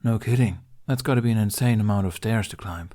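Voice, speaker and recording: A man's voice: lowish voice, fast talker, dark tone, lightly acted. A man voicing a playful, cocky young male bard with a teasing, casual manner in a storybook reading. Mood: impressed.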